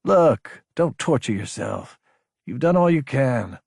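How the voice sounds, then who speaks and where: A man voicing a female military superior in an audiobook, gentle, understanding and firmly encouraging. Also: medium pitch, animated, takes it slowly, creaky, breathy edge, no room sound, studio quality, pitched above the performer's own voice, full character voice. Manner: gentle, reassuring. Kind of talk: audiobook character acting.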